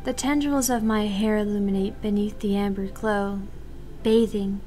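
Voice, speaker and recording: A woman's voice: mid-range voice, steady tone, normal speed, mellow, close to an everyday voice. Woman reading as an earnest bookish girl, music behind. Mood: poetic recital. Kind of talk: voiced reading of visual novel dialogue.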